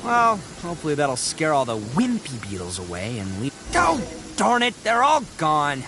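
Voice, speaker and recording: A man's voice: a little high, wildly swooping pitch, normal speed, music in the background. An actor playing a boastful, boisterous young male oni brawler in a video game, with brash, theatrical, goofy bragging. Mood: deflated, bouncing back.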